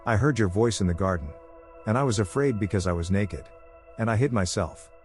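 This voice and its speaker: A man's voice: on the low side, animated, fairly quick, darkish tone, natural voice. A man playing a fearful, guilty first man in a dramatized Bible audio production, subdued in manner. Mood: afraid. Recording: background music under the voice.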